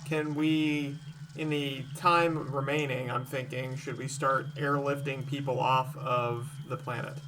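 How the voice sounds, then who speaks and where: A man's voice: mid-range voice, little pitch movement, unhurried. A man talking casually as a player in a tabletop role-playing game. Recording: distant mic, noisy background.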